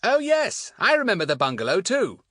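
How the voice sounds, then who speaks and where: A man's voice: high-pitched, wildly swooping pitch, moderate pace, sharp tone, no room sound, studio quality, pitched above the performer's own voice, full character voice. A man voicing a clever, boastful schoolboy in a children's audiobook, chatting with self-satisfied relish. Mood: approving.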